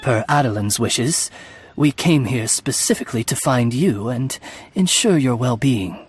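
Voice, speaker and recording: A man's voice: mid-range voice, animated, measured pace, slight background noise, natural voice. A man voicing a loyal, formal raven familiar in a video game, with an eloquent, dignified manner. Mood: courteous, formal. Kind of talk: video game character acting. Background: background music.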